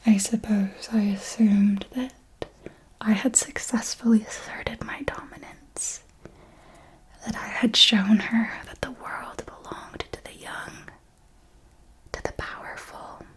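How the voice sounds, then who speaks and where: Female performer for seasoned vampire mentor, ASMR roleplay. Whispered, extremely unhurried, formal and theatrical, doting yet menacing. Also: mid-range voice, fairly even intonation, natural voice, poor audio. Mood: solemn, haunted. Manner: slow, deliberate, theatrical, formal diction.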